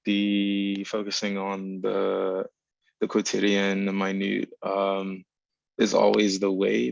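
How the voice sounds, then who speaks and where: A man with a very creaky, low voice and a fairly flat delivery. He talks thoughtfully and deliberately as a panelist, pausing often. The sound is dry and a little dull. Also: no echo. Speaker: panelist, writer. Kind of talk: reflective discussion at a virtual panel. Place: online video call.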